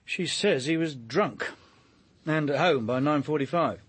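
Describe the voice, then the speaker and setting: An actor playing a chatty, wry police detective in a naturalistic radio drama, colloquial and a little hesitant. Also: male voice, a little high, measured pace, husky. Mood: thoughtful, concerned.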